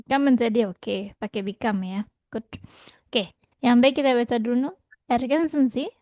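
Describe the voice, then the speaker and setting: Female language teacher, higher-pitched, patient and explanatory, with a verbal "ya" tic, close and dry. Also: mellow, dry recording. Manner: patient, tags nearly every phrase with a quick "ya"; switches between German and Indonesian to explain. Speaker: German teacher. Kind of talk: online language lesson.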